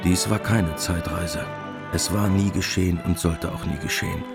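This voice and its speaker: A man's voice: low voice, fairly even intonation, moderate pace, over loud music. A man giving a scripted literary narration as the narrator of a radio drama.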